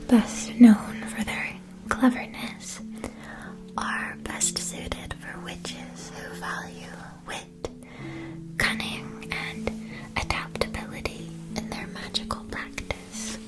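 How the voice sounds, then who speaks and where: A woman whispering an ASMR roleplay as a polite, attentive witch-school shopkeeper, explaining in measured, deliberate phrases. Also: a little high, low-quality recording.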